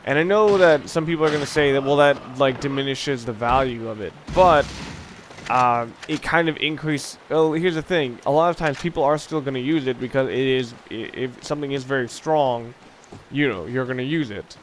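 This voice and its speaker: Man doing game commentary over clear noise. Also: mid-range voice, moderate pace. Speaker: let's play host. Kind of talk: casual unscripted video game commentary. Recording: clear background noise under the voice.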